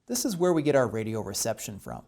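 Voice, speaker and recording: A man's voice: lowish voice, very animated, fast, darkish tone, a little hiss or hum. An American man presenting an instructional tutorial, explaining each step methodically and stressing the key points.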